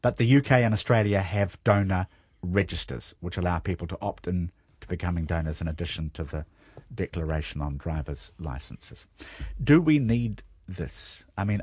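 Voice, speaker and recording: A man hosting a radio talk show, asking measured questions that trail off low at the end of phrases. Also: lively intonation, a little mellow, breathy edge, no room sound, clean studio sound. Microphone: studio microphone.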